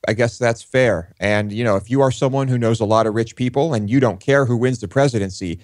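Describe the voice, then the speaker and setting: Male co-host, medium pitch, casual and tentative delivery, dry close studio sound. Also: a little fast, darkish tone, no room sound. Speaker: talk show co-host. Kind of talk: casual discussion.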